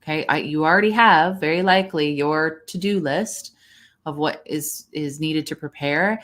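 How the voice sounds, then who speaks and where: Low-pitched woman giving coaching advice. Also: normal speed, fairly bright tone. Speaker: voice coach, guest expert. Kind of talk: conversational expert advice in a livestream.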